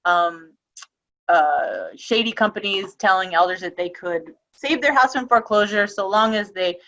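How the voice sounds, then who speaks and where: A woman's voice: mid-range voice, moderate pace, a bit bright, lo-fi sound. A woman giving a webinar presentation on a video call, talking casually with frequent ums and restarts. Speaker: webinar presenter on elder abuse prevention.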